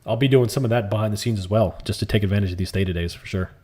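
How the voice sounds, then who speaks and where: A man hosting a live stream, chatting casually and calmly while answering viewer comments in a soft, laid-back way. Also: fairly low pitch, very fast, breathy edge. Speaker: livestream trading host.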